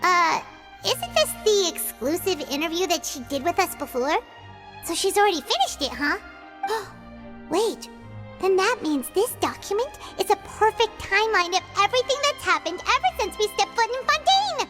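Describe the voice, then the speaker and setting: A tiny game sidekick girl with an extremely high, squeaky voice. She is chatty and excitable, bouncing up and down in pitch, over loud jingly music. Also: moderate pace. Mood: excited, surprised. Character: tiny, chirpy, excitable fairy-like girl companion. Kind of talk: cartoonish video game voice acting. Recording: loud childlike music behind the voice.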